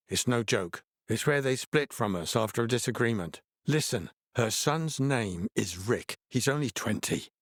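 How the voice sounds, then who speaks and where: An actor playing a laid-back, earnest middle-aged hippie healer in a video game, explaining things in an easygoing way. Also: male voice, on the low side, no echo, studio quality. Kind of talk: video game character dialogue.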